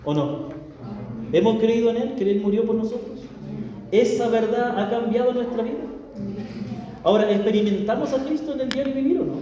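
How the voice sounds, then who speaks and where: A man's voice: very high voice, normal speed, long pauses, mellow. A man from Chile with a Chilean accent, a pastor preaching a chatty, joking sermon full of rhetorical questions to the congregation. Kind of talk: conversational sermon. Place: church hall. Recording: echoey church hall from far off, dull.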